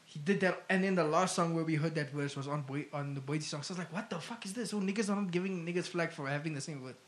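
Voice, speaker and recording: Blunt, slangy young man, higher voice. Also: fast. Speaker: podcast co-host. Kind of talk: casual banter.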